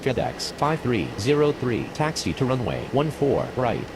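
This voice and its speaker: A man plays a male aviation radio voice in a game, formal and procedural. The pitch moves up and down in a lively way over clear background engine noise. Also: a little slow. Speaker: pilot and controller radio voice. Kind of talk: scripted air traffic radio calls in a game.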